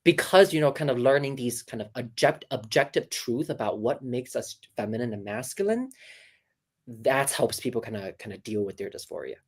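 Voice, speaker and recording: A woman voice coach talking casually on a video call, animated and demonstrative, with many fillers and restarts. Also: rumbling low, normal speed, slightly rough. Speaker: voice coach guest. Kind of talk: casual interview, teaching.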